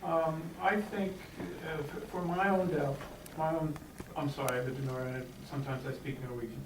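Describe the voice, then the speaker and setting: A fairly high-pitched man talks haltingly from the floor of a meeting, with plenty of fillers and restarts. He stands well away from the microphone. The room is echoey, and a faint hiss runs underneath. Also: fairly even intonation. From United States. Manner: hesitant, many fillers and restarts. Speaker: clan member speaking from the floor. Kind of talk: unscripted remarks at a meeting. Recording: echoey hall, distant mic, faint hiss.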